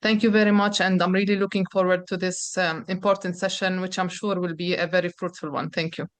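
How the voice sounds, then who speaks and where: A woman giving earnest panel remarks on an online call, pausing often on fillers. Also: on the low side, fairly even intonation, no room sound. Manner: earnest, frequent filler pauses. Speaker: panelist, youth organization representative.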